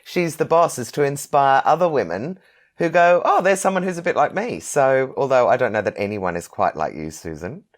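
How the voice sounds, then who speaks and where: A woman's voice: very deep voice, animated, normal speed. An Australian woman in her fifties hosting a casual interview in a chatty, effusive way. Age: 50s. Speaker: podcast host, interviewer.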